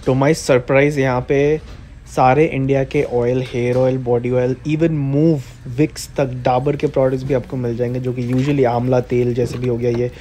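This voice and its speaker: A man's voice: mid-range voice, level tone, normal speed. A man in his twenties with an Indian accent, chatting casually to viewers as he walks through a grocery store, mixing Hindi and English. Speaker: vlogger. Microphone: handheld camera, first-person view.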